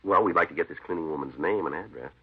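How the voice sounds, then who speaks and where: A terse American police detective in a vintage radio drama. His very low voice moves fast, clipped and deadpan. The old recording is dull. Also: slightly rough. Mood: businesslike. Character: laconic, dry, unflappable male police detective.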